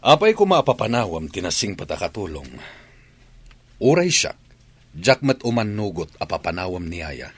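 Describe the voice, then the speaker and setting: A man's voice: fairly low pitch, big pitch swings, moderate pace, pauses often, slightly rough, dry recording. A man playing a stern father and master of the house in a Filipino radio drama, probing and authoritative, with an Ilocano accent. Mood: exasperated questioning.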